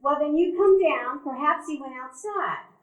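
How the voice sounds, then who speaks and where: An actress playing a gentle, flustered elderly woman in a live stage radio play, talking in a fluttery, soothing way. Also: high-pitched, moderate pace, narrow, tinny audio, natural voice. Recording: echoey live stage recording.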